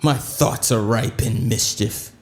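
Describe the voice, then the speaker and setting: Slow, commanding proud duke. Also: male voice, medium pitch. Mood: bitter, menacing. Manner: theatrical, measured verse delivery. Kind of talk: Shakespearean audio drama acting.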